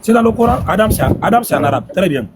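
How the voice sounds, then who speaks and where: Insistent high-voiced man debating. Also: lively intonation, quick pace, noisy background. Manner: insistent, repetitive questioning. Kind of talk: heated religious debate.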